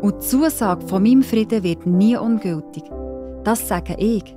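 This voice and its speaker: A woman narrates a children's Bible story in a medium-pitched voice with a Swiss German accent. She speaks gently and encouragingly to young listeners, with music behind her. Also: moderate pace. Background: background music.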